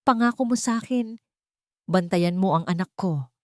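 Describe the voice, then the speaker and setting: A woman's voice: medium pitch, moderate pace, a little mellow, dry recording, clean studio sound, natural voice. A woman voicing a frail dying old matriarch. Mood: pleading. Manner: faint breathy whisper. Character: frail dying elderly matriarch, tender and pleading.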